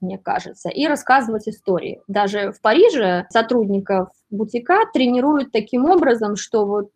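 A woman from Belarus speaking as an interviewee, casually telling stories in an ironic, expressive way and acting out exchanges. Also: fairly high pitch, dry recording. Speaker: interviewee, writer and boutique sales worker.

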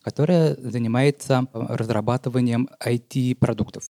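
Mellow-voiced man in his thirties. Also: mid-range voice, steady tone, moderate pace, gravelly, dry recording, clean studio sound. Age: 30s. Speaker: guest. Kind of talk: casual conversation.

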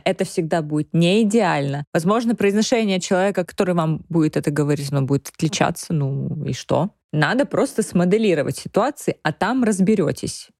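A woman's voice: on the low side, no echo, studio quality. A woman co-hosting a podcast, chatting casually and telling stories with frequent laughter. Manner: lively storyteller, laughs often. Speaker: podcast co-host.

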